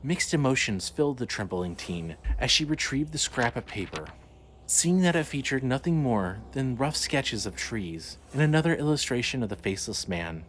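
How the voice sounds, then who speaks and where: A male narrator reads a dramatic story in a mid-pitched voice. The delivery is scripted storytelling for an audio drama, with faint ambient noise behind it. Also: sing-song, normal speed, fairly bright tone, low-quality recording.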